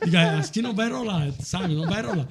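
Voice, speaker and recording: An Italian man of middle age, a restaurant owner telling his story in a casual chat, animated and laughing as he talks. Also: high-pitched, quick pace, a crowd in the background. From Italy.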